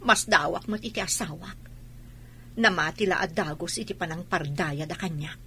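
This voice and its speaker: A woman's voice: fairly low pitch, moderate pace, sharp tone, a little hiss or hum. A woman acting a wronged but resolute wife and mother in a radio drama. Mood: hurt, resolute.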